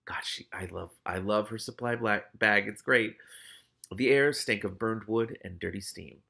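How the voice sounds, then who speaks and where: A man reading a story aloud as host, excitable and expressive, with casual, sweary reactions of his own. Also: lowish voice, a little slow, creaky. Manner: excitable, animated.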